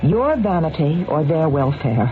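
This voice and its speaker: A woman's voice: low voice, sing-song, unhurried, music in the background. An actress playing a cold, calculating, domineering woman employer in an old radio drama, commanding and coolly persuasive. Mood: firm, insistent. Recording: tinny narrow-band old radio recording.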